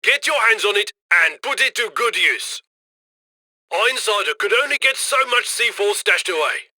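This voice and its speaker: Cocky heist boss for a video game, higher voice, super bright n punchy, slick chatty mission orders. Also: male voice, lively intonation, moderate pace, dry recording, studio quality, heavily acted. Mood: brisk, instructing. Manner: slick, conversational, egging the crew on. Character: cocky, upbeat male criminal heist handler. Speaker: heist handler giving mission directions.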